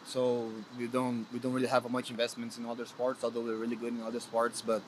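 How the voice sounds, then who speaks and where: A man's voice: medium pitch, completely flat delivery, fast, poor audio. Earnest Brazilian-accented man, faint hiss. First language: Portuguese. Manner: earnest, hesitant with many restarts. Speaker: guest soccer player. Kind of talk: casual interview chat.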